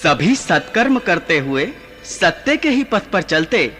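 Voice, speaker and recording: धीमे, ऊँचे स्वर में गंभीर देवता. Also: male voice, sits high, sing-song, a bit bright, full character voice. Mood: grave. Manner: measured, declamatory, instructive. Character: male god, solemn, patient, authoritative teacher. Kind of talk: mythological radio drama acting. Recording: studio radio play with music behind.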